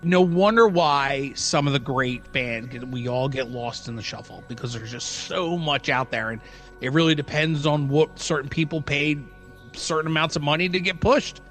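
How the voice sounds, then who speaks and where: Slangy man with slightly high voice. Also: moderate pace, a bit bright, faint music behind. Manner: emphatic, repeats phrases for stress, slangy. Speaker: podcast co-host. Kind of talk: casual chat.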